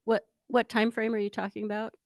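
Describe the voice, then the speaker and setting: A woman's voice: a little high, little pitch movement, fairly quick, no room sound, clean studio sound. A woman researcher explaining field plans in a meeting, talking casually with many fillers. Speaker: plant researcher. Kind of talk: meeting discussion. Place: conference room.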